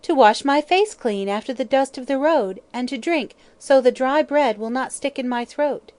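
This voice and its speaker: A man reading an audiobook, voicing a young girl in a high falsetto. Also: moderate pace, a little mellow, clear, resonant tone. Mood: earnest. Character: young girl, earnest and timid.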